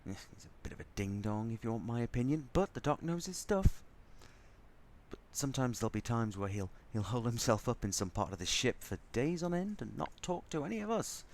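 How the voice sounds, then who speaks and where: A man's voice: mid-range voice, big pitch swings, fairly quick, slight background noise. A man playing a sardonic, grudgingly fond spaceship crewman in an audio drama, rambling as he goes. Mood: confiding. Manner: sardonic, rambling, softening into fondness. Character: snarky male spaceship crewman, grudgingly fond, talking to baby.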